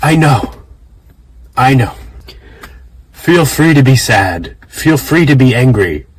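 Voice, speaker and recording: A man playing a weary, earnest father who speaks haltingly to his child in a fan audio drama. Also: medium pitch, big pitch swings, slightly rough, heavily acted. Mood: consoling, resigned.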